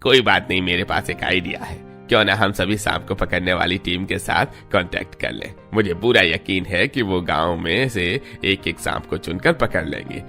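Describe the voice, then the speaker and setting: A man's voice: sits low, bright, forceful tone, exaggerated voice. A man voicing a kindly, reassuring wealthy village merchant in a cartoon story.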